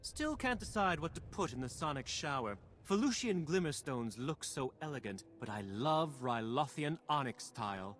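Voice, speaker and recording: An actor playing a smug, unflappable crooked alien boss in a video game, smooth-talking and flippant as he haggles. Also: fairly high pitch, big pitch swings, normal speed, few pauses, fairly bright tone, soft background music. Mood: vain, musing. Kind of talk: video game cutscene acting.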